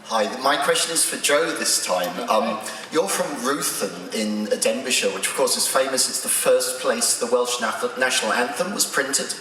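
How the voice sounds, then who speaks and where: A man's voice: medium pitch, normal speed, hardly any pauses, bright, forceful tone. A male journalist putting a precise, formal question to the panel. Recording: very echoey hall from far off, faint noise.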